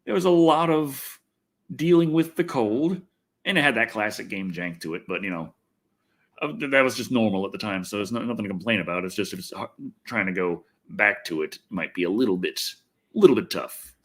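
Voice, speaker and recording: A man's voice: lowish voice, animated, fairly quick. An American man from Texas hosting a casual, rambling livestream, thinking aloud, swearing freely and poking fun at himself. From Texas, United States. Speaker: livestream host and video reviewer. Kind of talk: casual rambling livestream chat answering viewers.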